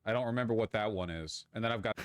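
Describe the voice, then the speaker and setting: A man with a slightly low voice, recorded dry and close. He chats casually like a streamer, musing and thinking out loud. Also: quick pace, smooth, no room sound. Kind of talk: casual livestream chat.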